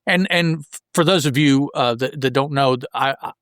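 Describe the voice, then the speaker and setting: A man co-hosting a podcast, casually discussing a comic book story and thinking aloud in a halting way. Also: mid-range voice, a bit bright, no room sound, studio quality. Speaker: podcast co-host.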